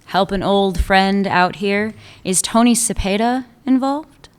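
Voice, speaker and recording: A woman voicing a shrewd, tenacious female police chief in a radio play, speaking in a cool, measured way. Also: mid-range voice, smooth, natural voice. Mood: probing.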